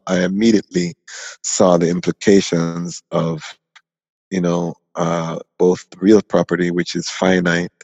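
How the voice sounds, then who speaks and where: A man in his forties, born in Jamaica and raised in Canada, telling his story as an interview guest in an unhurried, reflective way. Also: sits low, darkish tone, slightly rough, no room sound, big-room sound, lo-fi sound. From Canada (Toronto), born in Jamaica. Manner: unhurried, reflective, frequent ums. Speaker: guest, music conference founder.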